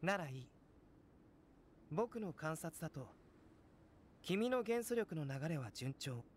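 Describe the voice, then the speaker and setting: A man playing a calm, courteous young alchemist in an anime game, speaking in a measured, gentle, analytical way. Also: a little high, a little hiss or hum. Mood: calm, explanatory. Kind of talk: scripted anime game dialogue. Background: game music.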